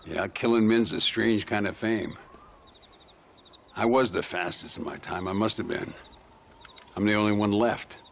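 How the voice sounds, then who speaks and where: A low, gravelly voice of an aging gunslinger, wry and world-weary. He drawls theatrically, as in a Western game cutscene, with faint noise behind him. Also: measured pace, long pauses. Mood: weary, reflective. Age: older. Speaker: video game character.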